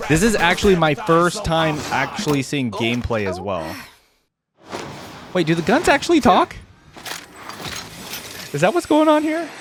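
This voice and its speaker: Animated man giving casual reaction commentary. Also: a little high, unhurried, pauses often, music in the background. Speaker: reaction video host.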